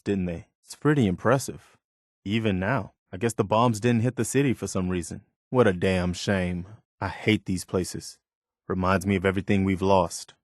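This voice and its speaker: A man's voice: fairly low pitch, no echo, studio quality, lightly acted. A man voicing an earnest, hopeful militiaman companion in a post-apocalyptic video game, speaking in a plain, wistful and wary manner.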